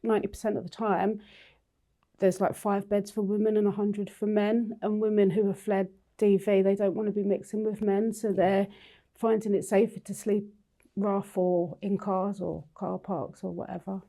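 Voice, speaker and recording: A woman's voice: medium pitch, level tone, normal speed, dark tone. A young adult woman from Newcastle, England, speaking candidly as a lived experience ambassador in a conversational interview. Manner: candid, plain-spoken.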